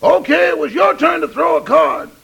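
An actor playing a wry, sassy male servant with a gravelly, rasping voice in a 1950s radio comedy. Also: very high voice, moderate pace, a bit bright, muffled recording, exaggerated voice. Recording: very noisy 1950s radio, studio audience.

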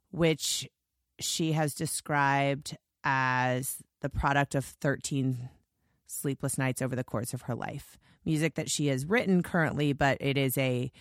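Hoarse, scratchy-voiced woman podcast host. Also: low voice, no echo, studio quality. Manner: gushing, rambling with restarts. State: hoarse, lost voice. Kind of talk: casual podcast monologue.

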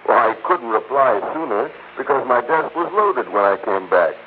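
An actor playing a thoughtful, quietly world-weary investigator in a 1960s radio drama, plainspoken and reflective. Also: male voice, medium pitch, lively intonation, moderate pace, lightly acted. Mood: reading aloud. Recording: tinny, noisy 1960s radio recording.

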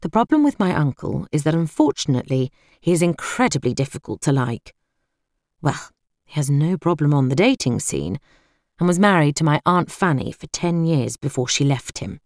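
Low-pitched British woman narrating, dry studio sound. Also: big pitch swings, moderate pace, darkish tone, no echo. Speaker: audiobook narrator. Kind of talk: scripted first-person fiction narration.

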